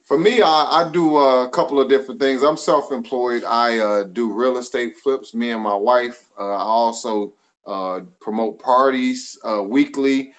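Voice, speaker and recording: Forties guy guesting on a stream, middle pitch, laid back, lots of uhs. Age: 40s. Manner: laid-back, halting with uh fillers. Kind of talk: casual live-stream chat.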